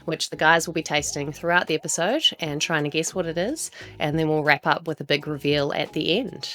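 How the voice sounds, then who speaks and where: A woman from New Zealand with a Kiwi accent, hosting a marketing podcast in a measured, guiding way. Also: sits low, fairly bright tone, soft background music. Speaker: podcast host, marketing executive. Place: small office.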